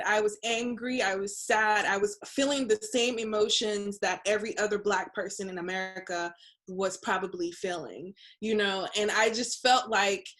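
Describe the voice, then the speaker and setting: Panelist, woman from Ghana, mid-pitched, earnest and open. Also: fairly bright tone. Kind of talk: panel discussion.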